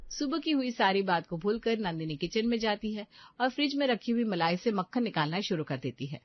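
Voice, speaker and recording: A woman narrates a scripted story at a middle pitch. She sounds like a storyteller. The recording is very dry, with a slightly muffled, lo-fi tone. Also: sing-song, brisk, no echo.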